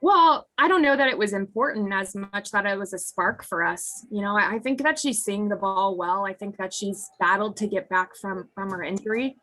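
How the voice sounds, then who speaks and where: A woman in her thirties, an American softball head coach, answering postgame press questions in an earnest way with a husky voice that is hoarse from screaming. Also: medium pitch, normal speed, few pauses, rough recording. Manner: earnest, restarts sentences often.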